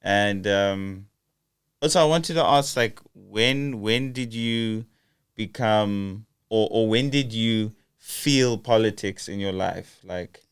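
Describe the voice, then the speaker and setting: A man's voice: on the low side, slow pace, clear, resonant tone, dry recording, studio quality. A young man hosting a casual podcast interview, hesitant with many fillers and restarts. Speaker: podcast host.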